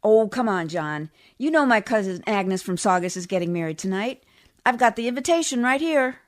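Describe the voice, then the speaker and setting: A woman's voice: mid-range voice, animated, moderate pace, close to an everyday voice. A woman playing a bossy, needling housewife in a 1940s-style radio comedy, with a pointed, scolding delivery. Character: bossy, needling, nagging housewife, unflappably critical. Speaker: community theatre actor.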